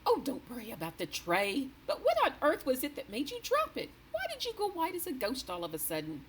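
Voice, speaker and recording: A refined, imperious queen, as a woman reading aloud puts her on, high and exclamatory with very lively swings in pitch. She speaks with genteel authority. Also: fairly quick, slight background noise. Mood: alarmed. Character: distressed, imperious older female queen.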